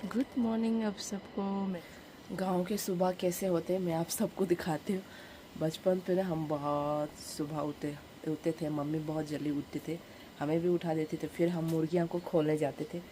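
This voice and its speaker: A woman's voice: lowish voice, fairly even intonation, moderate pace, mellow. A woman with a Northeast Indian accent chatting casually and informally to viewers in a home vlog. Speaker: vlogger. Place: village home, indoors and out in the yard. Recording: noisy handheld home vlog recording.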